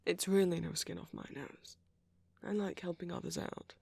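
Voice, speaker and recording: A man playing a friendly, flirty ancient vampire in an intimate ASMR roleplay, breathy and often near a whisper, with gentle, teasing, genteel phrasing. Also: sits high, normal speed, no room sound, full character voice.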